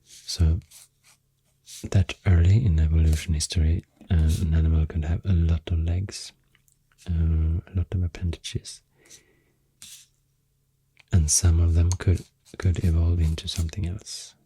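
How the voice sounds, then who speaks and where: A man with a Swedish accent softly rambling through an ASMR explanation, breathy and often near a whisper, soothing and hesitant in manner. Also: low-pitched, level tone, a little slow, long pauses, dry recording. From Sweden. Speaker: ASMR host.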